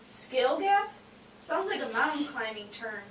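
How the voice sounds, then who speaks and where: A woman voicing a cheeky, naive, excitable puppet sidekick in comic scripted banter. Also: high voice, measured pace, exaggerated voice. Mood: playful, joking. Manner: playful, exclamatory, wisecracking. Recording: echoey, distant, noisy room.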